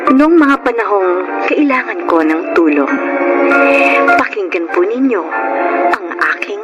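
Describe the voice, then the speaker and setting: An actress voices a poor, weary Filipina bar hostess in a radio drama. Her delivery is plaintive and swings widely in pitch, with loud music underneath. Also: animated, measured pace, highs cut off, lightly acted. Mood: sorrowful, confiding. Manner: plaintive, emotional. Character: weary, poor young hostess, long-suffering yet defiant.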